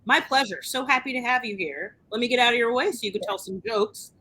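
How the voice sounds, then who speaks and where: A woman's voice: on the high side, sharp tone, breathy edge. A woman hosting a live comedy stream, chatting casually with comedians and laughing easily. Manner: upbeat, chatty, quick to laugh. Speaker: host.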